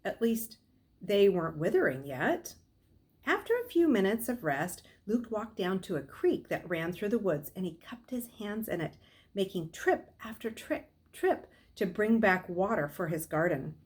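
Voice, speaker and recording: A middle-aged woman reading a novel aloud as a storyteller, in expressive narration. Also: mid-range voice, moderate pace. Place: small furnished room at home, indoors.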